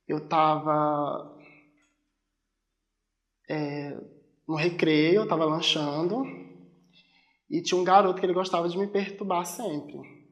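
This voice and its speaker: A Brazilian man in his late twenties telling personal stories in a casual interview. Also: on the high side. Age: 20s. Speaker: documentary interviewee.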